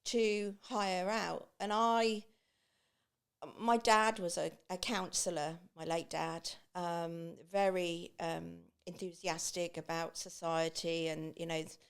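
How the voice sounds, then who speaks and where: A woman's voice: fairly low pitch, little pitch movement, takes it slowly, vocal fry, clear, resonant tone. A middle-aged woman with an East Anglian English accent, chatting and telling personal stories, acting out remembered remarks as she goes. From Great Yarmouth, England. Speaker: podcast guest, beach hut business owner.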